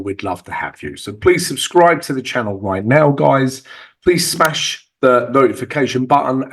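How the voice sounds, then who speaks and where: A man, husky as he recovers, casually walking viewers through trading charts with lots of fillers and asides to the viewers. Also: mid-range voice. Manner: chatty, fillers, addresses viewers as guys. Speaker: forex trading channel host.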